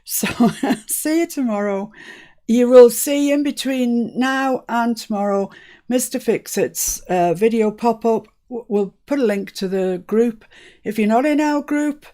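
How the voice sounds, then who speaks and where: A woman's voice: a little high, unhurried, mellow. A woman born in Scotland hosting a live crafting stream, chatting casually and laughing often. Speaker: crafting channel host. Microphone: live-stream setup.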